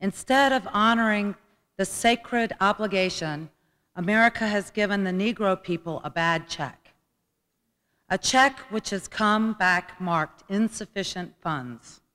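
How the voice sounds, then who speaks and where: A woman's voice: mid-range voice, measured pace, a bit bright. A woman giving a formal dramatic reading of a speech as a guest reader. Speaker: guest reader at a commemorative event. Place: large indoor hall at a college event. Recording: reverberant hall, long echo.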